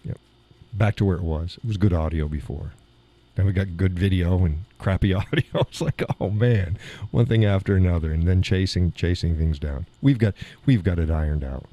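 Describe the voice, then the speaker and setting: A man hosting a live stream in casual, rambling chat, with a slight gravel in his voice and chuckles between remarks, recorded in a home studio through a mixer board. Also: low voice, normal speed, lo-fi sound. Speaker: live show host. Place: home studio in a weight room. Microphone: studio mic through mixer board.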